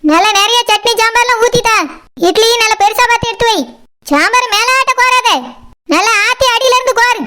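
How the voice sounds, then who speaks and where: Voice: very high-pitched, fairly quick, pushed and bright. A performer voicing a bossy, touchy village woman in a comedy cartoon, speaking Kanyakumari Tamil in a brusque, scolding way. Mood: dismissive. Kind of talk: exaggerated cartoon comedy dialogue.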